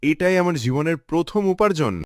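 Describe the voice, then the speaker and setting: A man's voice: a little high, lively intonation, a little fast, dry recording, clean studio sound, close to an everyday voice. A man voicing a poor, hardworking village boy in a cartoon story, earnest and respectful in manner. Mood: proud, eager.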